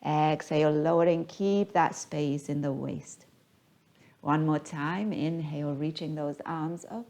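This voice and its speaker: A woman guiding a yoga class as the teacher, speaking in a gentle, unhurried, soothing manner. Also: low voice. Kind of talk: guided yoga class instruction.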